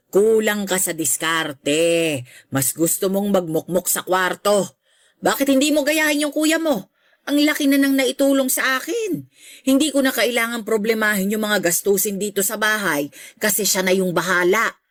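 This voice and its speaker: A woman's voice: medium pitch, no echo, clean studio sound, lightly acted. A woman playing a middle-aged mother in a radio drama, scolding and sarcastic as she belittles her grown son. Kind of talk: Filipino radio drama acting.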